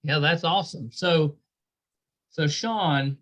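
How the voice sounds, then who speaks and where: Higher-pitched male podcast interviewer. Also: slow, a bit bright. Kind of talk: casual interview chat.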